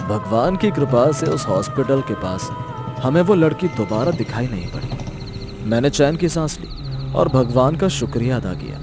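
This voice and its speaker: Casting: male storyteller, mid-pitched, scripted first-person horror narration with clear music behind.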